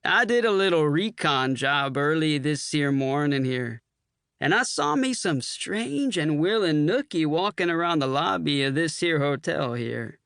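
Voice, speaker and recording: A man voicing a loud, rowdy Marine major with a Texas accent, bellowing and folksy. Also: a little high, animated, a little slow, gravelly, clear, resonant tone, dry recording, clean studio sound, exaggerated voice.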